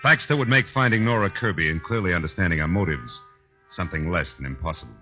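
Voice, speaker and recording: An actor playing a tough, wisecracking American private detective in a 1940s radio drama, with a wry, clipped, hard-boiled delivery. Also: male voice, sits low, animated, fast. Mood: wry narration. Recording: dull 1940s radio recording, faint music.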